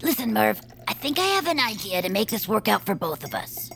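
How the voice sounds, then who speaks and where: An actress playing an eager, scheming young superfan who calls herself a space commander, talking persuasively. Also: female voice, mid-range voice, sing-song, a little fast, gravelly, noisy background. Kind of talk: audio drama acting.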